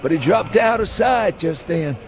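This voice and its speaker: A man's voice: high-pitched, animated, measured pace, music in the background. A man voicing a drunken, hysterical old man with a rural New England accent and rustic, goading speech in a dramatised story reading. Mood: conspiratorial, rambling. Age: elderly. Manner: rustic dialect, goading questions ending in "huh?". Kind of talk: dramatised audiobook reading for radio.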